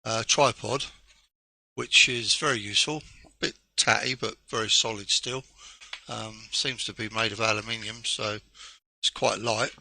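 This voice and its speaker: A man with a gravelly, very bright voice speaks on an amateur radio net. He explains the technical details step by step in a halting, casual way. The sound is close and dry. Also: lowish voice, fairly even intonation, no echo, rough recording. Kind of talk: casual over-the-air chat.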